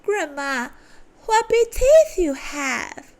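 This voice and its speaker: A woman voicing a sweet, innocent little girl in a storybook reading, high and sing-song. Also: expressive, takes it slowly.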